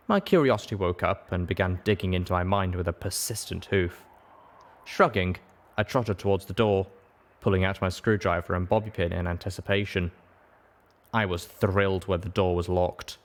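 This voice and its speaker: A man reading a first-person adventure story aloud as an audiobook narrator, in a scripted storytelling style. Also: low voice.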